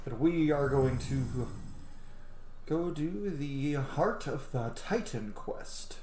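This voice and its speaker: A man's voice: a little high, expressive, takes it slowly, warm tone, a little hiss or hum. Roomy-sounding man doing casual gameplay commentary. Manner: informal, slangy, thinks aloud. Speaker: gaming channel host. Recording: roomy sound with game music behind. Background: video game music.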